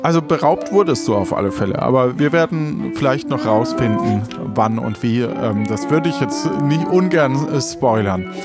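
A man hosting an audio play as game master, talking casually and informally with halting phrasing. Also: mid-range voice, expressive. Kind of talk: casual podcast talk and narration. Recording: music behind the voice.

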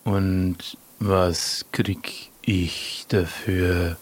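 A man voicing a measured stranger who guards a mountain path and loves riddles, speaking deliberately with drawn-out words. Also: low voice, flat delivery, slightly rough, exaggerated voice. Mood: calm, deliberate. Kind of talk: improvised audio adventure role-play.

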